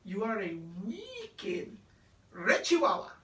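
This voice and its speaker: A woman voicing an excitable little boy kitten who playfully thinks he is a chihuahua, in a children's storybook. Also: fairly high pitch, takes it slowly, full character voice. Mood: playful bravado. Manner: playful exclamations mixed with Spanish words. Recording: roomy, distant microphone.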